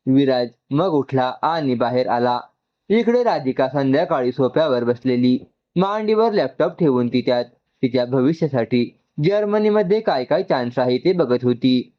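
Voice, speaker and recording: A man's voice: medium pitch, dull audio. A man reading an emotional story as a scripted narration.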